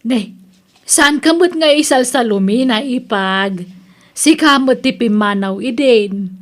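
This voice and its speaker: A woman playing a feisty, expressive daughter-in-law in an Ilocano radio-style comedy drama. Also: on the high side, slow. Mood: indignant.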